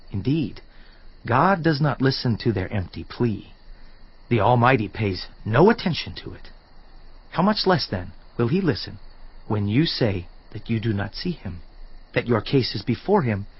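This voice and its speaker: A fervent biblical orator speaks in a solemn, declamatory way, with strong emphasis and earnest conviction. His voice sits at a middling pitch with a slightly husky grain. The sound is a little dull, as in an audio drama with a muffled top end. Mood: stern. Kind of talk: dramatized scripture reading, oratorical.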